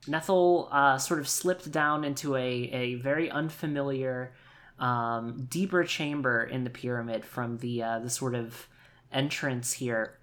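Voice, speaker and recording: A man improvising a tabletop game story in a halting, deliberate and playful way. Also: mid-range voice, fairly bright tone. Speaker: player in an actual play podcast.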